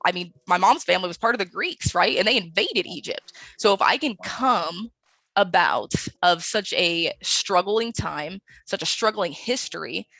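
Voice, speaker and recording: A woman's voice: fairly low pitch, animated, moderate pace, creaky, pushed and bright, no room sound, poor audio. A woman speaking as a podcast guest in a casual, personal way, earnest and conversational, often checking for agreement. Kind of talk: casual, personal interview talk.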